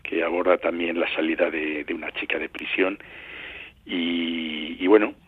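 A middle-aged or older man from Madrid with a Castilian accent, answering radio interview questions in a halting, measured way, searching for words. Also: low-pitched, flat delivery, breathy edge, no room sound. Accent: Castilian Spanish from Madrid. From Madrid, Spain. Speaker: journalist and novelist, interview guest. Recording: muffled phone line into radio show.

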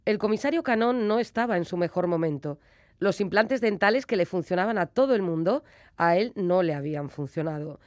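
Low-pitched woman presenting a book review. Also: expressive, fairly quick, a little mellow, no echo. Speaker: radio book show host and reviewer. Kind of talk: semi-scripted book review narration.

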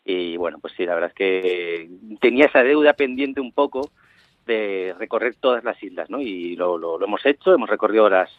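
Guy on a phone call, interview guest, thinking out loud, lots of restarts, sounds kinda lo-fi and dry. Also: fairly low pitch, normal speed, dry recording. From Canary Islands, raised in Barcelona. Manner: relaxed, hesitant, restarts phrases. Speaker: interview guest, traveling parent and author. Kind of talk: casual interview over phone. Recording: tinny phone line.

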